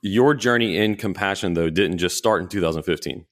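Guy hosting a podcast, deep voice, dry recording, kinda halting conversational delivery. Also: a bit bright, dry recording. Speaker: podcast host.

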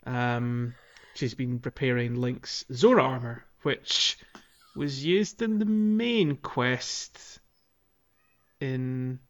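A man's voice: medium pitch, extremely slow, lo-fi sound. A man co-hosting a podcast, explaining a game in casual chat with hesitant, thoughtful phrasing. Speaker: podcast co-host. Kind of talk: casual chat about a video game playthrough.

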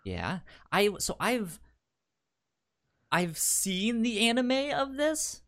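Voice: mid-range voice, big pitch swings, very slow, a bit bright. A podcast co-host chatting casually and recapping shows, often stammering and repeating words and syllables. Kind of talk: casual chat, pitching and recapping anime.

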